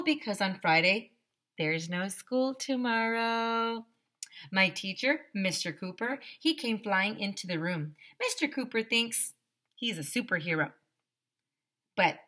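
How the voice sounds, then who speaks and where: A woman reading a children's story aloud in an expressive, playful way, speaking directly to young listeners as a teacher would. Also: medium pitch. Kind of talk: animated children's read-aloud.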